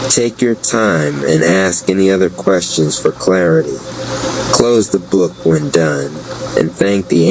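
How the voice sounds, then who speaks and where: Very deep, slow man reading aloud. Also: vocal fry, noisy background. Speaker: narrator reading a spiritual guide. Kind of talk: scripted narration, guided meditation reading.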